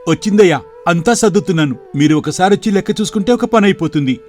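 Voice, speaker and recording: A man acts out a poor young shop clerk from a moral tale. His voice sits a little high and his manner is respectful and sincere. Soft music plays underneath. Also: animated, fast. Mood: polite, earnest. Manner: polite and deferential. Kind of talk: dramatized moral story for video.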